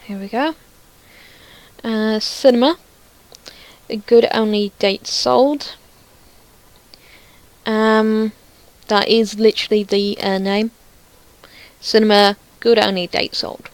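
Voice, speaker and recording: A woman's voice: medium pitch, steady tone, slow, long pauses, no room sound. A woman giving casual screen demo commentary as a creator showing her own project, rambling and thinking aloud with some self-deprecation.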